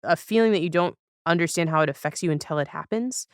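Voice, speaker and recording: A woman's voice: on the low side, fast, clear, resonant tone, no echo, studio quality. A woman chatting casually as a podcast guest, thoughtful and often searching for words as she talks about television.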